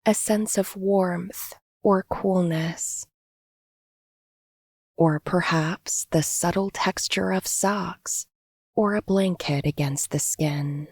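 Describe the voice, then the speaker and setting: Slow, husky woman guiding meditation. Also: lowish voice, dry recording, clean studio sound. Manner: gentle, unhurried, instructional. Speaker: meditation guide. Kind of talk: guided body scan meditation, scripted narration.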